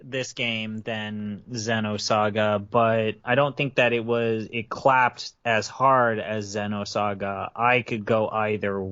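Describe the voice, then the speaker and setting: A man's voice: on the low side, flat delivery, slow pace, few pauses, a bit bright, smooth, no room sound. A man co-hosting a casual podcast chat, emphatic and blunt, swearing freely. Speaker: podcast co-host. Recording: dry, dull lo-fi podcast audio.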